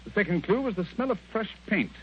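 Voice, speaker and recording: A fast-talking, animated British gentleman detective in a vintage radio drama. He is sardonic, commanding and theatrical, with a voice pitched a little high. The sound is dull and old. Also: slight background noise. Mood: explanatory. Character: brisk, self-assured, sardonic gentleman detective. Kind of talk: mid-century radio drama acting.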